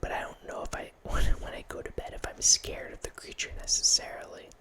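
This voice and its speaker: A man in his thirties whispering a rambling ASMR talk as the host of his channel, often restarting with soft laughs, close to a studio mic in a small room at home. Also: a little fast. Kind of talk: whispered ASMR ramble about a horror movie. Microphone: large studio mic close in front, with headphones.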